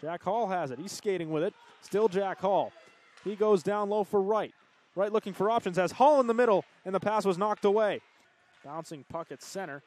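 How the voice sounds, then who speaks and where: A man calling hockey play-by-play, rattling off passes and shots and surging loud whenever a goal goes in. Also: high voice. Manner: rapid calls that surge loud on goals. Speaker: play-by-play commentator.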